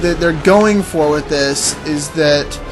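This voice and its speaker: Higher-pitched man chatting over game music. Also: takes it slowly. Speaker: gaming podcast co-host. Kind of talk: casual commentary while playing a video game. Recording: game music and vehicle noise behind. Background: loud video game soundtrack.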